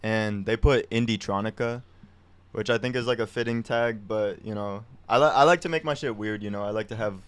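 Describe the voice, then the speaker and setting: Young male musician, casual slangy interview chat, deep and clear. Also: brisk. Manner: laid-back slangy, lots of "like". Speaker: musician guest.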